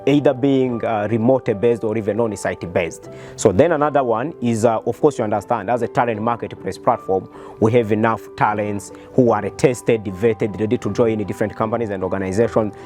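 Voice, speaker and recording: A man's voice: fairly low pitch, a little fast, darkish tone, soft background music. A man with a Rwandan accent speaking as a company founder, explaining his business in an earnest interview style. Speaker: startup founder and CEO.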